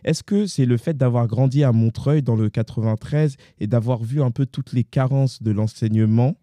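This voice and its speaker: A man's voice: mid-range voice, normal speed, mellow, no echo, clean studio sound. A man hosting a conversational interview, feeling his way through long, reflective questions and readily agreeing. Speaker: interviewer, host.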